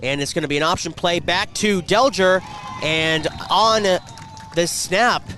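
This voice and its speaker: A man's voice: high voice, measured pace. Congested radio football announcer, man. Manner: rising excitement on plays. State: congested from a cold. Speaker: play-by-play announcer. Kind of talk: radio sports commentary.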